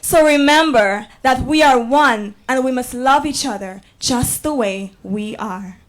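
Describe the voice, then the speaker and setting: A woman's voice: high-pitched, measured pace. A woman giving a heartfelt spoken introduction before a song. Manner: heartfelt, patriotic.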